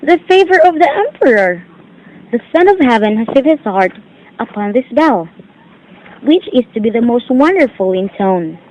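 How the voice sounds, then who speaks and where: A woman's voice: a little high, big pitch swings, moderate pace, a little mellow. A woman playing a dutiful, worried young mandarin's daughter in an amateur drama, formal and earnest in her delivery. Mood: earnest, explaining. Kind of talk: declaimed amateur stage-play acting. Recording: dull lo-fi amateur recording.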